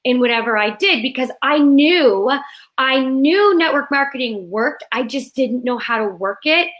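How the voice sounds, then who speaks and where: A woman in her thirties from Canada, a little hoarse, cheerfully telling her story as a guest on a live video call. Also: sits high, animated, normal speed, fairly bright tone. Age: 30s.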